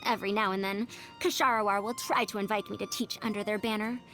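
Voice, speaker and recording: A woman's voice: a little high, fairly bright tone. A woman voicing a proud, fussy century-old scholar with a youthful girlish voice, pompous and lecturing, for a video game. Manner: pompous, lecturing, old-fashioned elder phrasing. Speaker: game character voice. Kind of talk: animated video game voice lines.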